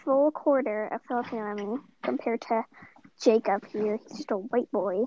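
A woman's voice: a little high, moderate pace, a little hiss or hum. A teenage girl co-hosting a casual podcast, bossily insisting it is her turn to talk. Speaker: podcast co-host. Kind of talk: casual chat. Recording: dry, dull lo-fi sound.

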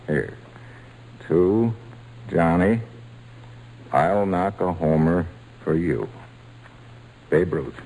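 A man playing a big-hearted baseball slugger, gruff but kind, in old-time radio drama style with folksy, plain speech. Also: low-pitched, expressive, extremely slow, long pauses, warm tone, exaggerated voice, low-quality recording. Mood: kindly, encouraging.

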